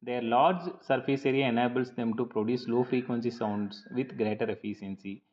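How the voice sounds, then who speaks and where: A dull, lo-fi recording carries a man's mid-pitched voice. He reads a prepared lecture as a teacher would, explaining point by point in a measured, slightly halting manner. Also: fairly even intonation, darkish tone. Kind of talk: scripted lecture narration over slides.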